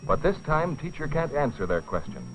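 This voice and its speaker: A man narrating a dramatized film in a grave, deliberate scripted style with dramatic pauses. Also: fairly high pitch, big pitch swings. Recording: dull old film soundtrack, music behind. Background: dramatic music.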